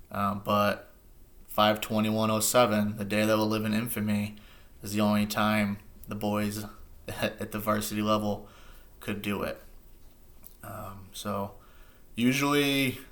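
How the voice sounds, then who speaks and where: A man in his thirties with an American accent speaks in a rather low voice that barely varies in pitch. He reminisces casually and sincerely, with a nostalgic tone, as if sharing a memory with friends. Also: fairly bright tone. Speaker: host sharing a personal memory. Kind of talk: casual reminiscing monologue to camera.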